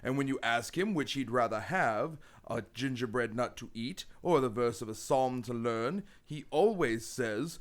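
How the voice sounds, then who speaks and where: A man playing a stern, pompous middle-aged gentleman who preaches sanctimoniously at a child in a radio play. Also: mid-range voice, expressive, close to an everyday voice. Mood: sanctimonious, mock-shocked. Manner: pompous, slow deliberate preaching with mock-shocked chuckles.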